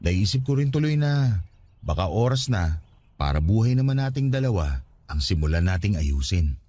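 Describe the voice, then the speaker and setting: An actor playing an earnest, responsible young Filipino man in a radio drama, speaking in an expressive, conversational way. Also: fairly low pitch, moderate pace, slight background noise. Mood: worried, tender.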